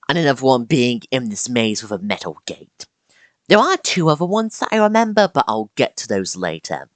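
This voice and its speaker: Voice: mid-range voice, fairly bright tone, dry recording. A YouTuber narrating a scripted video essay with casual asides and dramatic emphasis on key words.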